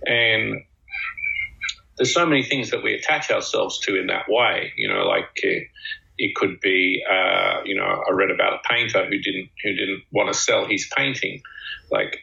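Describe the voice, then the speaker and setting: A man co-hosting a talk show, musing slowly in a reflective, conversational way. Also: sits low, sing-song, creaky, bright, forceful tone. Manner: slow, musing, with drawn-out phrases. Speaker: podcast co-host. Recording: dull lo-fi sound.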